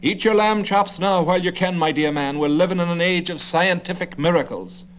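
Brief: man voicing a gabby, condescending know-it-all older man, pitched a bit high, audiobook reading with faint hum. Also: a little fast, natural voice. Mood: chattering. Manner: chattering, lecturing, condescending.

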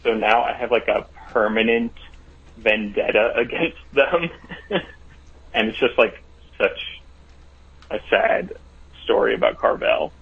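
Husky low caller on a phone line. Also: male voice, slow pace, pauses often. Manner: rambling, filler-heavy. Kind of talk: phoned-in story.

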